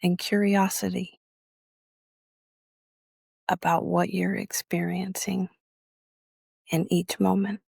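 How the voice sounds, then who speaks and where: A woman teaching guided meditation in a measured, instructional way, leaving long pauses between phrases. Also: on the low side, little pitch movement, gravelly, darkish tone, husky, no echo, studio quality.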